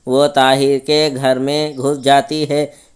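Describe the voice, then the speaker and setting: A man narrating a scripted story in a smooth, clean voice. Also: medium pitch, level tone, slow.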